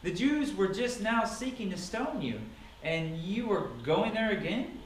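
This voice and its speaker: A man's voice: sits high, expressive, unhurried, pitched above the performer's own voice. A man voicing anxious, questioning disciples in a dramatic scripture reading, with an urgent manner. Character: anxious, questioning male disciples of a holy teacher. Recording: echoey room, distant mic, noisy.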